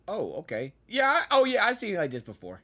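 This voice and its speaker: A woman gamer giving casual, excitable reaction commentary, often saying "like" and "dude". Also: mid-range voice, fairly quick. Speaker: gamer reacting to a game on camera.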